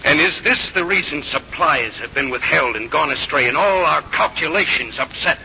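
A man's voice: on the high side, lively intonation, normal speed, vocal fry, bright, forceful tone, exaggerated voice. A man acting a grave, commanding general in a 1950s radio drama, speaking with formal, weighty authority. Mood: indignant. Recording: muffled, noisy 1950s radio recording.

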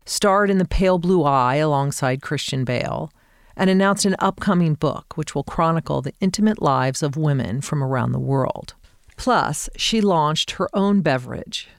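A woman's voice: low voice, gravelly, no room sound, clean studio sound. A woman hosting a podcast, reading an upbeat intro and chatting with her guest. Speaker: podcast host. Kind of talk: interview and scripted intro.

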